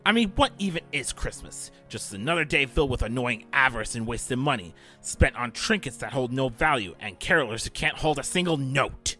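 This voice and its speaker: A man performs a cold, domineering fashion-mogul father for a fan audio drama. His voice is forceful and bright, with dramatic swings in pitch. He speaks in stern, clipped commands over a music bed. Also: lively intonation, brisk, hardly any pauses. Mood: furious.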